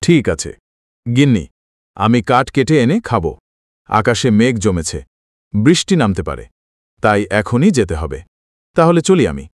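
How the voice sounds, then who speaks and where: A man's voice: on the low side, very animated, measured pace, long pauses, no room sound, studio quality. A man voicing a poor, humble village woodcutter in a cartoon, speaking earnestly and plaintively. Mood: worried. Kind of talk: Bengali moral-story cartoon voice acting.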